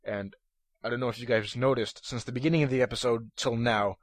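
A young man hosting a casual solo podcast, talking in a monotonous, rambling way full of fillers and restarts, his voice a little hoarse. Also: mid-range voice, brisk, dry recording. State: slightly hoarse. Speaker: podcast host. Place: room at his computer.

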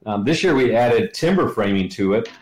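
A middle-aged or older man talking as an interview guest, enthusiastic and halting as he explains. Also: mid-range voice, lively intonation, a little mellow. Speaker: podcast guest, ministry leader. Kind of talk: conversational interview answers. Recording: roomy, echoey sound.

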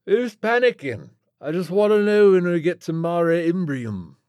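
Loud, brash aging British rocker. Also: male voice, sits high, animated, unhurried, clear, resonant tone, no echo, studio quality, pitched above the performer's own voice. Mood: brash, nosy. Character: rowdy aging British male rock star, cheerfully wasted.

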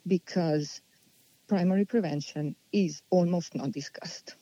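A woman, answering interview questions unhurriedly and pausing as she thinks. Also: on the low side, warm tone, no room sound, lo-fi sound. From Slovenia. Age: 40s. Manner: unhurried, thoughtful, with many pauses and restarts. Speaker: guest.

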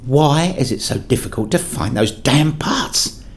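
A man's voice: fairly high pitch, lively intonation, measured pace, husky. A man reading scripted first-person narration for an audio play, slipping into acted dialogue as a father.

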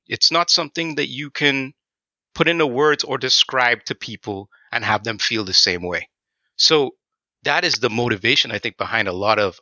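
Guy from trinidad chatting about his audio design work, super bright tone, dry close sound. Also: mid-range voice, no echo, lo-fi sound. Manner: animated, chatty, many fillers. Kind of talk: casual interview answers.

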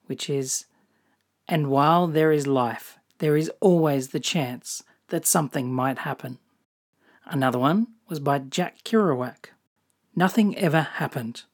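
Australian solo podcaster, calm scripted musings with a dry wit. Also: mid-range voice. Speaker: podcast host. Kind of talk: scripted personal podcast monologue.

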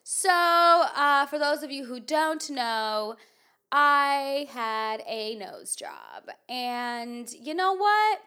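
A woman's voice: sits high, very animated, drawn-out words, pushed and bright. A woman hosting a podcast in casual chat, with a nasal voice and a rambling, filler-heavy way of talking. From United States. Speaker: podcast host.

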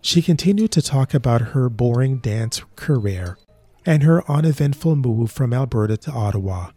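A man narrating a short drama in a slow, measured way, with a husky voice. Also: medium pitch, expressive, mellow, no room sound, faint music behind. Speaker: narrator and lead character.

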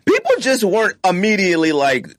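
A man hosting a casual sneaker podcast, talking in an animated, slangy and emphatic way with strong opinions. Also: high-pitched, fairly quick, no room sound, clean studio sound.

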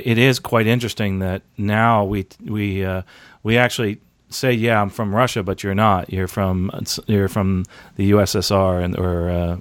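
Enthusiastic lower-voiced male host. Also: dry recording. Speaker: podcast host. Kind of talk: interviewing a guest.

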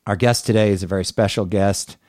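Man, middle-aged, podcast interviewer, dark relaxed tone, dry close sound. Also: fairly low pitch, brisk, dry recording. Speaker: podcast host.

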